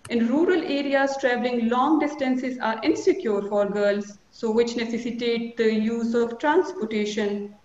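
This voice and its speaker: A woman in her forties with a Pakistani accent, giving a measured conference talk full of figures from a small office, with fillers between numbers. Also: a little high, fairly even intonation, darkish tone. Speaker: conference panelist. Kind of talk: formal presentation with statistics. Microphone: video call webcam. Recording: echoey lo-fi video call.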